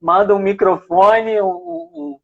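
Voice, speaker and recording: A man's voice: high-pitched, steady tone, slow pace, slightly rough, phone-quality sound. A man hosting a live online chat for a dubbing school, friendly and asking his guest questions.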